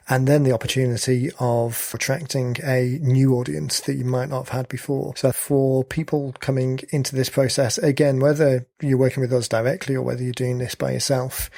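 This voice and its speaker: A man hosting a podcast, explaining things steadily in casual chat, his voice slightly croaky. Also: medium pitch, no room sound, studio quality. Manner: explanatory, steady, leans on "kind of". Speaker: podcast host.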